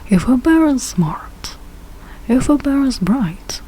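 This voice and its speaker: A woman reading a rhyming children's storybook aloud in short, deliberate phrases with pauses. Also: high voice, big pitch swings, mellow, husky, poor audio. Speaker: read-aloud reader learning English.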